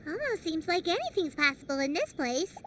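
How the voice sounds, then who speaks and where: A woman voicing a tiny, excitable floating companion in a video game, chirpy and eager. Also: very high voice, slight background noise, exaggerated voice. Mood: upbeat. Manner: chirpy, eager, quick to cheer.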